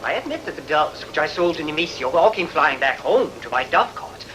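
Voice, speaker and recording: A man's voice: fairly high pitch, animated, brisk, close to an everyday voice. A man dubbing a cowardly, wheedling ancient dove trader with a pleading, exaggerated delivery. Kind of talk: comic dramatic film dubbing. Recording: noisy background ambience.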